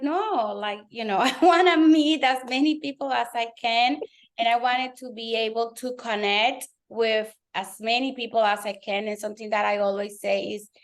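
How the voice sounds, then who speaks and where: A woman from the Dominican Republic, a native Spanish speaker with a Dominican accent, talking animatedly as a guest in a webinar conversation. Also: a little high. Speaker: guest real estate team leader.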